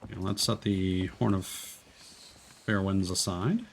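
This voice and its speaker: Man, lowish voice, casual game explaining, lots of ums, background noise. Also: steady tone, unhurried, creaky. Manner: explaining step by step with many fillers. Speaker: streamer guiding a co-player. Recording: noisy stream audio.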